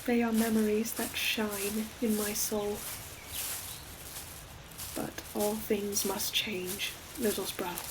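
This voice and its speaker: Woman as a slow-speaking ancient gentle forest demon. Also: medium pitch, lightly acted. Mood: wistful. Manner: slow, tender, archaic storybook phrasing. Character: ancient gentle forest demon, hulking moss-covered creature. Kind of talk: scripted audio roleplay monologue. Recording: clear forest ambience noise behind voice. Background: forest nature sound effects with animals.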